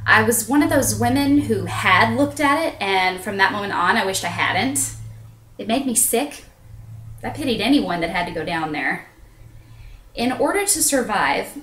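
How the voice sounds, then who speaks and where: Woman acting a high-voiced, self-conscious confessional storyteller, echoey. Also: fairly bright tone, a little hiss or hum. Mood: disgusted, confiding. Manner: dramatic pauses, drawn-out emphasis. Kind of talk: theatrical confessional monologue. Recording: echoey room on low-quality webcam.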